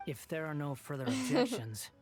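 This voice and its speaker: A man's voice: on the high side, sing-song, moderate pace. A man voicing a smug, youthful ex-villain in a video game, with a taunting, mock-polite manner. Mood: condescending. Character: smug, snarky youthful male ex-villain, centuries old. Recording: game music behind.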